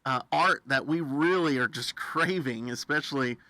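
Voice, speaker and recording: A man's voice: mid-range voice, moderate pace, pushed and bright, no room sound. An American man hosting a friendly radio interview with an enthusiastic, affirming, folksy manner. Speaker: radio host interviewing a guest.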